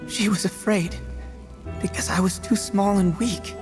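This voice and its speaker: A man's voice: high voice, steady tone, a little slow. A man playing an eager, vulnerable young beast warrior in a video game, youthful, questioning and emotionally open. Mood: hurt. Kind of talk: video game cutscene voice acting. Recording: music behind the voice.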